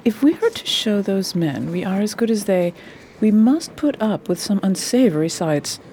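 Mellow, determined lady detective. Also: mid-range voice, very animated, normal speed. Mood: firm, businesslike. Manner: purposeful, refined, authoritative. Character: eager, determined, commanding lady detective of high standing. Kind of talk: radio drama acting. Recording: faint background noise.

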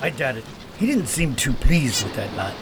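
Gruff, kindly pirate sailor played by a man. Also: mid-range voice, big pitch swings, normal speed, noisy background, close to an everyday voice. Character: big, gruffly kind veteran pirate sailor. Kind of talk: audio drama acting.

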